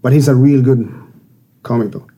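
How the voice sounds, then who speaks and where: A man, a stand-up comedian, chatting casually and hesitantly, with lots of pauses and restarts. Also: medium pitch, warm tone. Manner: hesitant, many fillers and restarts. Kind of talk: casual chat.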